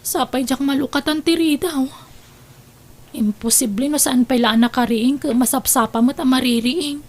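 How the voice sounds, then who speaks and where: A woman's voice: high voice, normal speed. A woman acting a young, dutiful and affectionate daughter in an audio drama. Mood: anxious, impatient.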